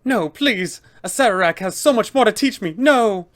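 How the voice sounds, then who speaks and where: Undead tomb custodian, raised pitch, fussy and polite, formal announcements, tabletop game villain. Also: male voice, animated, moderate pace, a bit bright. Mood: panicked, pleading.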